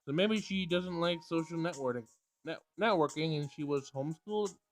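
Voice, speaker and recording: A man doing a casual game playthrough, reading dialogue aloud and thinking out loud in informal, slangy language. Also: on the high side, moderate pace, faint music behind. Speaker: let's play gamer.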